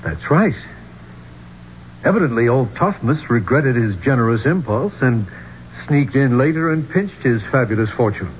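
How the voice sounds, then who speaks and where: Old 70s radio play, muffled n a bit noisy, a tense archeologist stuck in a tomb, halting dramatic talk. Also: male voice, medium pitch, big pitch swings. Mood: musing. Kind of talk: 1970s radio drama acting.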